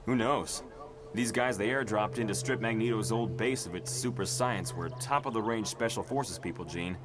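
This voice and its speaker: An actor playing an earnest, protective young superhero leader in a motion comic, with vehicle noise behind him. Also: male voice, on the low side, moderate pace, over music, close to an everyday voice. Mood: concerned. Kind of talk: dramatic motion comic voice acting.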